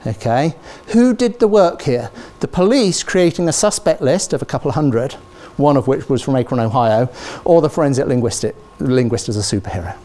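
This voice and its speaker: A middle-aged British man giving a university lecture, animated, checking in with the audience with "okay?" and "yeah?", recorded on a lapel mic in a large lecture theatre. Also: medium pitch, fairly quick, hardly any pauses. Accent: British English. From Britain.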